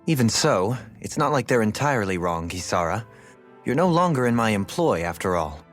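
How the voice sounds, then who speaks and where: A man's voice: on the low side, big pitch swings, moderate pace. A man playing a refined, politely aloof former lord in a video game, speaking formally and eloquently. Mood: measured. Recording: music in background. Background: background music.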